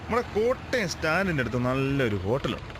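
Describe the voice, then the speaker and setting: An actor playing a talkative, respectful hired driver with a Malayali accent from Kerala in a radio drama. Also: male voice, mid-range voice, big pitch swings, quick pace, lightly acted. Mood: chatty, obliging. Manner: deferential, talkative. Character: chatty, respectful male hired car driver. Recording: clear vehicle noise behind voice.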